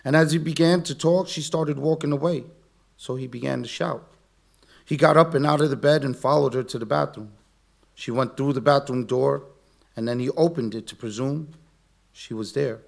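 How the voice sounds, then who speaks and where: A man in his forties performing a spoken word poem at an open mic, earnest, slow and heartfelt. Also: medium pitch, vocal fry. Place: community center event.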